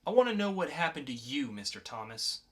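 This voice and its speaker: A man acting a calm, probing interrogator with a formal, measured delivery in a dramatic comic-book reading. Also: fairly high pitch.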